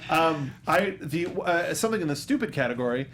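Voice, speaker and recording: A man hosting a movie review panel, chatting casually and thinking aloud in a halting way. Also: fairly high pitch, expressive, a crowd in the background. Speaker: host of a movie review show.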